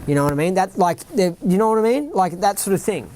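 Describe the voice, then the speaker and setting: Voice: mid-range voice, sing-song, fast, darkish tone. A podcast host with an Australian accent, reading a written account aloud and breaking off into rambling asides, often asking "right?" and "you know what I mean?".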